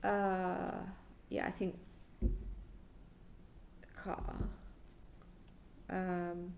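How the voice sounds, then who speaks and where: A woman's voice: lowish voice, little pitch movement, very slow, creaky, a little hiss or hum. A woman giving a psychic tarot reading, thinking aloud in a tentative, musing way that often trails off.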